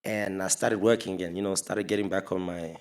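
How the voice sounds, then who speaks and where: A young man, a podcast guest, telling stories in a relaxed, casual way and laughing often. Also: sits low, fairly quick. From Zimbabwe. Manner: relaxed, laughs often, mixes in local words. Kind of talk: casual storytelling chat.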